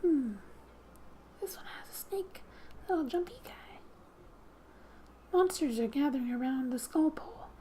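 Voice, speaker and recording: A soft-spoken woman doing ASMR gaming commentary, gently reading story text aloud and often dropping to a whisper, in an unhurried way. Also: high voice, expressive, a little hiss or hum. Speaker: video game streamer. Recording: quiet background music. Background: soft music.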